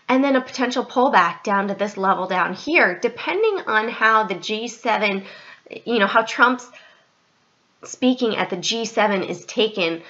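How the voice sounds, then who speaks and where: A woman hosts a casual trading commentary over her screen, her voice stuffy and a little scratchy. The tone is bright and forward, and the recording is dull and lo-fi. She talks straight to viewers and keeps correcting herself on the fly. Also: medium pitch. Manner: chatty, direct address, frequent self-corrections. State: stuffy and slightly scratchy from allergies. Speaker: trading channel host.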